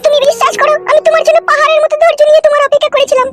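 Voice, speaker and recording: A defiant young cartoon wife with an extremely high, chipmunk-pitched squeak. She scolds and insists in a rapid-fire, bossy stream over loud music. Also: flat delivery. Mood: insistent. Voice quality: squeaky, childlike. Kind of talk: amateur comedy cartoon dubbing.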